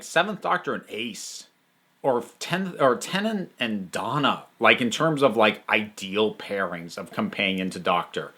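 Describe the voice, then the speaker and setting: Male review host, mid-pitched with a bright, forceful edge; casual and emphatic, thinks aloud in halting, wandering sentences. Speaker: host reviewing audio dramas. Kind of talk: casual unscripted review commentary.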